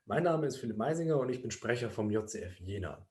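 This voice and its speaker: A man's voice: medium pitch, animated, fast talker, a little mellow. A man hosting an interview series, introducing the episode and addressing viewers directly in a friendly way. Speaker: host, student society spokesman. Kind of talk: podcast intro. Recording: roomy echo.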